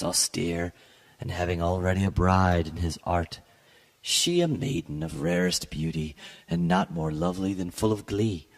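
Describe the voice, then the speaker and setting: Deep crackly guy doing a dramatic gothic story reading, solemn and theatrical. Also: a little mellow. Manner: solemn, theatrical, measured phrasing. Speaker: literary podcast reader.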